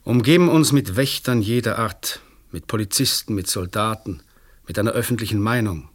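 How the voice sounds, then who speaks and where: A man's voice: lowish voice, normal speed, lightly acted. An actor playing a weary, disillusioned male examining magistrate in a German radio play, speaking formally and rhetorically. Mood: bitter, weary.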